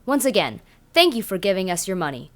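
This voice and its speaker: Formal female automated announcer, lively intonation, acted. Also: medium pitch, brisk, faint music behind, close to an everyday voice. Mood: detached, polite. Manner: formal, instructional, detached. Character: polite, officious automated announcer system. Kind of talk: scripted announcement in an audio drama.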